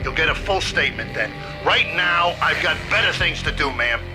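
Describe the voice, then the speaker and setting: An actor playing a curt, self-important deputy police chief in a video game, speaking in a brusque, official way. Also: male voice, on the high side, lively intonation, moderate pace, over music, close to an everyday voice. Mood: impatient, dismissive. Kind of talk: video game cutscene voice acting.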